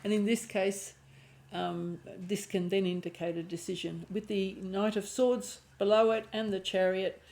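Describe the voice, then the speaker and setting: A woman with a croaky voice and a lingering cough giving an intuitive tarot reading, thinking aloud and often restarting her phrases. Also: mid-range voice, flat delivery, measured pace, hardly any pauses, a little mellow. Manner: musing aloud, restarting phrases, clearing throat. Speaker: tarot reader.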